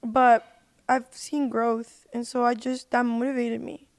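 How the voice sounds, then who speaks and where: A woman with a fairly high voice chats as an interview guest in a close, dry recording. Her talk is informal and slangy, and she repeats phrases to drive them home. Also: little pitch movement, a little slow, no room sound. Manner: informal, slangy, emphatic with repetition. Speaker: podcast guest, independent singer. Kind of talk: casual personal storytelling in an interview.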